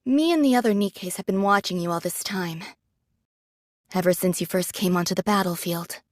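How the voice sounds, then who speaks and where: An actress playing a rank-and-file android soldier in a game drama, frank and earnest, admiring yet reproachful. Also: female voice, on the low side, moderate pace, dry recording, studio quality, natural voice.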